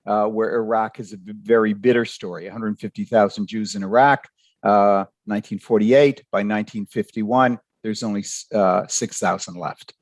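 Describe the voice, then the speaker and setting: An older man from Canada, a professor answering interview questions by telling stories, with halting, repeated words. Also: lowish voice, no echo.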